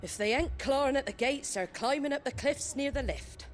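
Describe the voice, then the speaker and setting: A woman playing a plain-spoken, tough leader of an embattled settlement in a fantasy game, brisk and matter-of-fact. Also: high voice, close to an everyday voice. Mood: weary resolve. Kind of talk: cinematic fantasy game acting.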